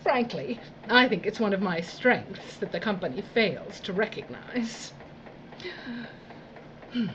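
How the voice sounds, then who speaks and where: A theatrical, tyrannical lady boss, drawls with mock sweetness and swoops up and down. She is condescending and slow, in a dystopian radio play with slightly dull sound. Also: on the high side, background noise. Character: domineering, theatrical, sadistic female boss of dystopian work crews. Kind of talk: theatrical audio drama acting.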